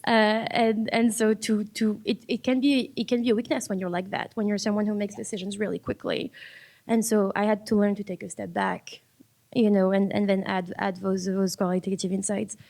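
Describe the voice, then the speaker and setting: A woman's voice: mid-range voice, steady tone, moderate pace, vocal fry, a little mellow. A woman from France, speaking reflectively on a public panel and often repeating words as she looks for the next one. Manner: reflective, repeats words while finding them. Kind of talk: panel discussion.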